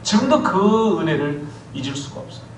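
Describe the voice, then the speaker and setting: A man preaching a Sunday sermon, animated and storytelling, his voice pitched a touch high and full of lively rises and falls. He is picked up from some distance off the mic, with the church's reverb around him and a faint hiss underneath. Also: a little fast. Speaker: pastor. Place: church sanctuary. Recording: echoey church hall from far off.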